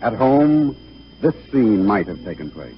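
A man's voice: on the low side, very animated, unhurried. A man narrating a scripted 1930s radio play in a dramatic manner. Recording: muffled 1930s radio recording, loud music.

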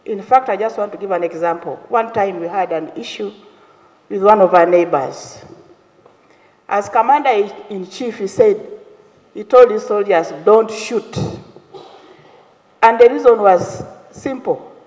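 High-voiced emphatic man in a hall. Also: a little slow, pauses often, a little mellow. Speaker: legislator. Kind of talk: formal speech in a chamber. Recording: reverberant hall.

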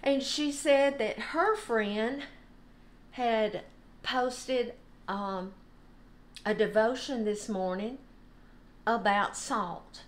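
An older woman giving an informal, unhurried devotional talk. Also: a little high. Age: older, about 70s. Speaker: devotional host. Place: home kitchen, indoors.

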